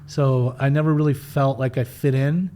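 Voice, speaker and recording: A man's voice: mid-range voice, fairly even intonation, normal speed, dark tone, slight background noise. A man who grew up in Germany and Utah, with German as his first language, telling his life story frankly in a rambling interview style. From Germany, raised in Utah. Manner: rambling, frank, frequent restarts. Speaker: podcast guest.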